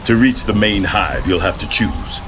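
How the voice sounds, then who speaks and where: An actor playing a gruff, battle-worn older American general in a video game, with blunt folksy military delivery. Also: male voice, lowish voice, animated, moderate pace, background noise, lightly acted. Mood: gruff, weary. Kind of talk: dramatic video game acting. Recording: dramatic music behind.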